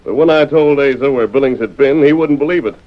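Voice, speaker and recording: An actor playing a righteous masked lawman in an old radio adventure, crisp and authoritative with an American accent. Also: medium pitch, quick pace, lightly acted. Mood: explaining firmly. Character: masked frontier lawman hero, commanding, calm and righteous. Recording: muffled old radio broadcast.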